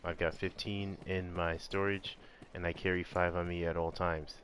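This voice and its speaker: A man's voice: low-pitched, level tone, moderate pace, fairly bright tone, a little hiss or hum. A man doing casual live gameplay commentary in an offhand way with mild, clean slang. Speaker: video game streamer.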